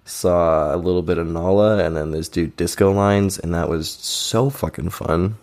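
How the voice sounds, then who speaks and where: A man's voice: low voice, fairly even intonation, normal speed, darkish tone. A young man co-hosting a podcast, telling festival stories in a laid-back, joking way, his voice a bit strained. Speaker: podcast co-host. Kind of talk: casual chat.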